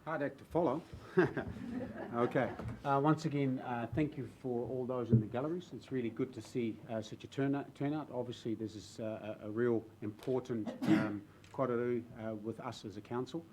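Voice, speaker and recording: A man from the Netherlands with a Dutch accent, a new councillor speaking earnestly in a council chamber, halting with fillers and restarts. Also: mid-range voice, steady tone, a little mellow, a little hiss or hum, low-quality recording. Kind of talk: speech in a council debate. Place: council chamber with public gallery.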